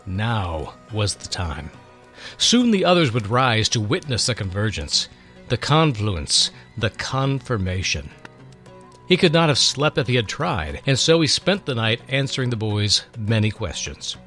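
A man's voice: lowish voice, very animated, a little slow, a bit bright, soft background music. A man narrating a scripted story in a dramatic reading style.

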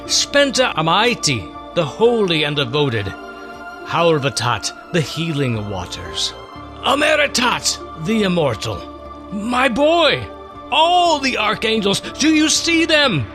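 Elder male stargazer-prophet played by a male reader, reverent and exclamatory, highly animated delivery, music underneath. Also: slow, heavily acted. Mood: awestruck, fervent. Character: awestruck elder astrologer, a fervent male teacher and prophet. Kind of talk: dramatic storytelling reading.

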